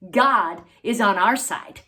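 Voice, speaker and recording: A woman's voice: medium pitch, takes it slowly, sharp tone. A woman voicing a brave shepherd boy. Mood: determined. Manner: earnest, confident.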